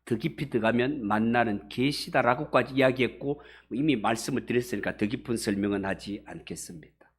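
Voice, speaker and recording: A man's voice: mid-range voice, brisk, breathy edge. Korean-speaking male pastor preaching emphatically. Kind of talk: expository sermon to a congregation. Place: church service.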